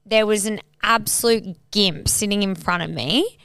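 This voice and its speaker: A young Australian woman co-hosting a podcast, a loud, blunt and sweary storyteller in casual chat. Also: mid-range voice, normal speed. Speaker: podcast co-host.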